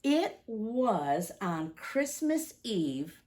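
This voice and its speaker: A woman, speaking deliberately and expressively to young children as a story-time teacher. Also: mid-range voice, sing-song, a little mellow. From United States, Midwest.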